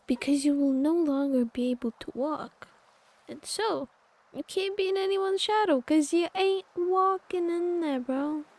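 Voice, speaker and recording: A woman's voice: high-pitched, measured pace, darkish tone. A woman live streamer giving casual gameplay commentary in sarcastic, slangy asides.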